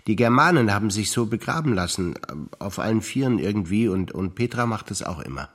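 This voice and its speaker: An older man, a stage actor reciting memories in a measured, alternating theatre piece. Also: on the low side, expressive.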